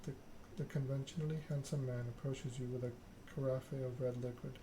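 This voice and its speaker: A man's voice: medium pitch, fairly even intonation, fairly quick. Evenly spoken man narrating, noisy background. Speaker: let's play host reading game text aloud. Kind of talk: ASMR narration of a visual novel.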